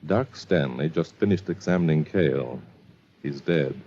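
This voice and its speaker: An actor playing a sharp, composed, suspicious amateur detective in 1940s radio drama style. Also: male voice, extremely low pitch, measured pace, slight background noise. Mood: calm, measured. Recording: muffled old radio recording.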